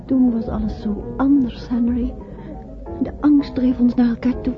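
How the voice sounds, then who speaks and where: An actress playing an emotional lady in a radio play, expressive and theatrical. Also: fairly high pitch, unhurried, over music, natural voice. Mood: tender, wistful. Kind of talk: Dutch radio play acting. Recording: muffled old radio recording.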